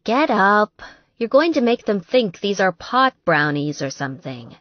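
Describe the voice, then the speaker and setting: A wary young heroine of an audiobook romance, acted by a woman narrator. She speaks with a teasing edge at an unhurried pace. The recording is dry and a little muffled. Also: medium pitch, sing-song, no room sound. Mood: playful, bossy.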